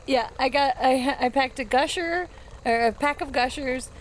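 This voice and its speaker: A woman's voice: sits high, normal speed, rough recording. A woman chatting casually and playfully as a player in a tabletop roleplaying game. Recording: faint background noise.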